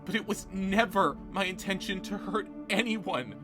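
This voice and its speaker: A man's voice: high-pitched, moderate pace, over music, natural voice. A man voicing a defensive female contestant who pleads and stammers through her apologies. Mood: earnest.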